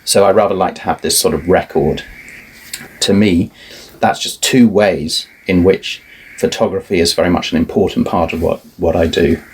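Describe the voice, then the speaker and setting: A middle-aged man, a guest artist, talking in a relaxed, thoughtful way with many restarts. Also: low voice, moderate pace, slight background noise, rough recording. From family roots in Ireland, moved around a lot. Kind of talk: relaxed conversational interview.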